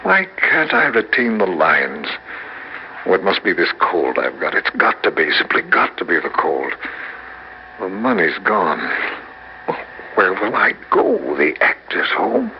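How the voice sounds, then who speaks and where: A man's voice: low voice, wildly swooping pitch, unhurried, creaky, heavily acted. An actor in his sixties voicing an aging actor's reflective, worried inner monologue in a vintage radio drama. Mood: anxious. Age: 60s. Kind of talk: 1960s radio drama acting. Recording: dull lo-fi vintage radio with faint hiss.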